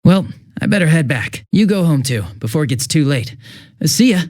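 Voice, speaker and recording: A man voicing a young police detective in a video game, affable and easily flustered, with a casual, hesitant and excitable way of talking. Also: on the high side, brisk, dry recording, clean studio sound. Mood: friendly, reassuring. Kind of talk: English video game voice acting.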